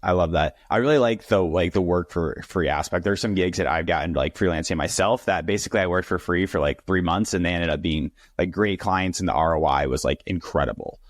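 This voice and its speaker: A young man hosting an interview, chatting casually with lots of "like" and quick affirmations. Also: low-pitched, no echo, clean studio sound. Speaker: interview host.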